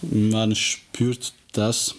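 A middle-aged man from Kosovo, a teacher, speaks German with an Albanian accent and often pauses to search for words. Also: lowish voice, level tone. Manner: slow, halting, searching for words. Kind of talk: interview answers.

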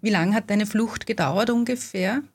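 A woman's voice: medium pitch, moderate pace, husky. A woman interviewing a guest, asking leading follow-up questions and summing up his answers.

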